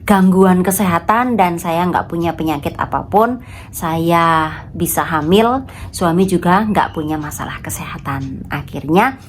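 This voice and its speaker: Slow-talking woman with a stuffy nose. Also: fairly low pitch, slight background noise. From Indonesia. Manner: chatty, addresses viewers as friends. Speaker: vlogger. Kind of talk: casual vlog chat.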